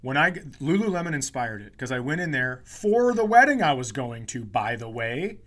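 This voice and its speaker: A man's voice: medium pitch, very animated, normal speed, fairly bright tone. A man co-hosting a comedy podcast, telling self-deprecating stories in casual, joking banter. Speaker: podcast co-host.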